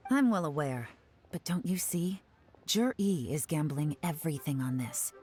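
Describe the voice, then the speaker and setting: An actress playing a calm, cunning female spymaster who gives orders to her agents. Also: low-pitched, normal speed, breathy edge, soft background music. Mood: commanding. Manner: calm, sly and commanding. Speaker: commander of agents. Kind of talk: game voice acting.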